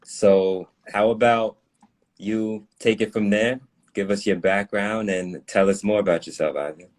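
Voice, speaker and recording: Deep-voiced man hosting an interview. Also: fairly even intonation, unhurried, a little mellow. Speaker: interview host, school staff.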